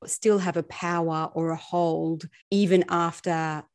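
A woman's voice: on the low side, steady tone, takes it slowly, a little mellow. A woman hosting an interview, politely summarizing what the guest said before asking her next question. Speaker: interviewer, host.

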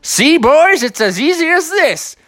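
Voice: sits high, very animated, measured pace, a bit bright, pitched above the performer's own voice, heavily acted. A storyteller voicing an easygoing but exasperated male bear in a children's story, with indignant, slangy dude talk. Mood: proud.

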